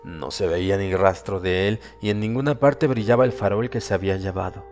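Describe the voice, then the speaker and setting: A man with a low voice reads a horror story as a dramatic audiobook narrator. His delivery is solemn and suspenseful, with deliberate phrasing. Long reverb hangs on the voice, and music plays behind it.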